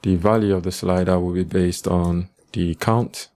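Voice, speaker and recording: A man giving a step-by-step coding tutorial as an instructor, calm, matter-of-fact and methodical. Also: deep voice, normal speed.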